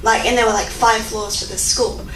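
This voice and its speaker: A woman's voice: on the high side, steady tone, moderate pace. A young woman in her twenties with an Australian accent, answering audience questions in a chatty way full of fillers and restarts. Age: 20s. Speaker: guest speaker. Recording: echoey room, mic far off, faint noise.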